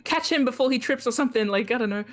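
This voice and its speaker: A woman's voice: a little high, very fast, soft background music. A woman chatting casually as a player in a tabletop role-playing game.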